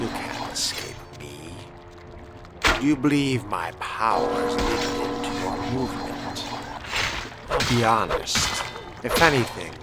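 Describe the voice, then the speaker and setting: A man playing an arrogant, power-hungry young vampire, speaking in formal, brooding, theatrical monologue for a game role-play. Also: on the low side. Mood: menacing, commanding. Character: menacing power-hungry fledgling male vampire, coldly arrogant. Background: loud music.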